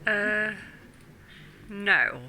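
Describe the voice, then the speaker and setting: A fellow player on voice chat answering casually and hesitantly, with many pauses. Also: mid-range voice, animated, background noise.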